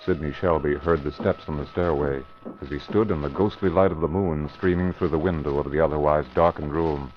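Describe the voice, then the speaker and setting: A man narrates an old radio drama in a measured, dramatic way. His voice is very low and dark. The sound is dull and lo-fi, like an old broadcast. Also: fairly even intonation, slight background noise.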